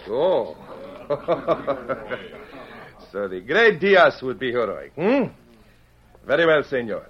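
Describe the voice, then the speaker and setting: An actor playing a cruel, mock-courteous Mexican bandit leader with a Mexican accent in an old-time radio western. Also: male voice, mid-range voice, big pitch swings, slow. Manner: mocking, commanding. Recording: muffled old radio recording.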